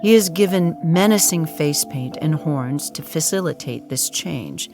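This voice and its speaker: A solemn narrator of mid-range pitch tells a comic audio drama as if it were an epic. The delivery is scripted and dramatic, with music clearly playing behind it. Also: animated, a little slow.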